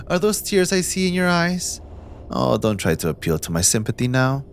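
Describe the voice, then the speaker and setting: A man playing a possessive, manipulative young mafia heir in an audio roleplay, condescending and smoothly taunting with theatrical politeness. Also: a little high, expressive, takes it slowly, slight background noise, exaggerated voice. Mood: mocking, menacing. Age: young adult. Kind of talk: scripted ASMR audio roleplay addressed to the listener.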